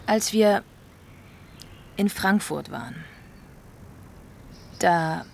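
A woman's voice: lowish voice, expressive, extremely slow, vocal fry, a little hiss or hum, full character voice. An actress playing a nervous, conflicted woman confiding in her partner, hesitant and defensive, in a naturalistic radio drama.

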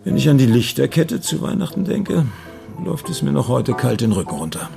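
A smug, middle-aged dentist in a German radio play, with a gravelly, animated voice over clear music. He is a wine connoisseur who savours his words and banters with expansive irony. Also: medium pitch, fairly quick. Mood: conspiratorial, smug. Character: well-off male dentist hosting friends, smug wine connoisseur. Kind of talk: naturalistic German radio drama acting.

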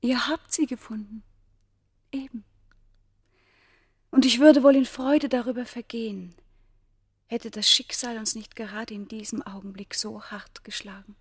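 A woman acting a governor's noble daughter of ancient Egypt, passionately in love, with formal, breathy, poetic delivery in a German radio play. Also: on the high side, steady tone, measured pace, long pauses. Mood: tender.

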